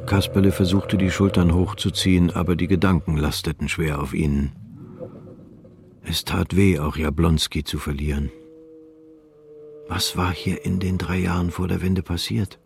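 A man narrating a literary radio drama in a calm, measured, subdued reading. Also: deep voice, vocal fry, background noise.

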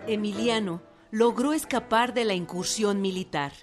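A woman giving formal, measured scripted narration for a historical radio drama. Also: medium pitch. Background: music.

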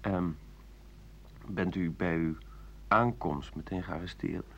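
Deep-voiced, hesitant male interviewer. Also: steady tone, takes it slowly. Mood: hesitant, inquiring. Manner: hesitant, polite questioning. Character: hesitant, polite male interviewer questioning an exiled writer. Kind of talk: radio drama interview. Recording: muffled, noisy, humming radio recording.

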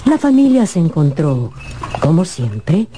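A woman narrating a scripted radio story in a measured, dramatic storytelling manner. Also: low voice, very animated, warm tone, a little hiss or hum.

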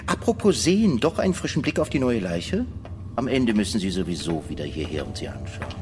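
An actor playing a learned forensic doctor with dry, morbid humour in a radio drama. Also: male voice, lowish voice, sing-song, a little fast, darkish tone. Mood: dry zest. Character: dryly humorous, Bible-quoting male forensic doctor. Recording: long hall reverb, music underneath.

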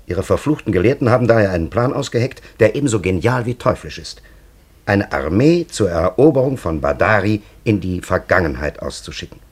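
Give this radio drama a very low-voiced old man, an ancient time traveller who is grave and cunning. His delivery is solemn and ornate, with wide swings in intonation. He addresses others with patronising authority. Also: expressive, fairly quick, husky. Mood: grave, lecturing. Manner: solemn, elaborate, theatrical storytelling. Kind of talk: radio play acting.

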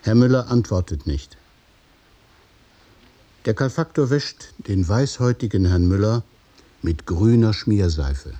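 A man narrating a scripted radio drama in the present tense, with deliberate, measured phrasing. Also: lowish voice, animated, mellow.

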